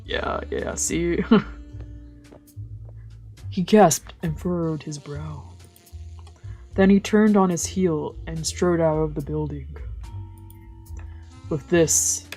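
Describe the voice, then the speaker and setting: Mellow, husky woman reading a story aloud. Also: low-pitched, slow, long pauses. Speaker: streamer reading a visual novel aloud. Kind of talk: dramatic let's play read-aloud with casual asides. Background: game music.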